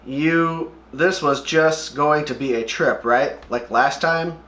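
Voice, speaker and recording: A man's voice: fairly high pitch, fairly even intonation, slow pace, bright, forceful tone, a little hiss or hum. A man voicing a stubborn, hurt young boy while reading a zombie comic aloud, pleading and insistent. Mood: accusing, hurt. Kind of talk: comic book read-aloud. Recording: echoey room.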